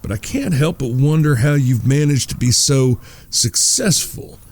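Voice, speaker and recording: An actor playing a shrewd outlaw gambler in a western audio drama, polite, folksy and coolly confident. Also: male voice, mid-range voice, expressive, a little slow, dry recording. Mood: probing, affable. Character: shrewd, courteous outlaw gambler, leader of his brothers.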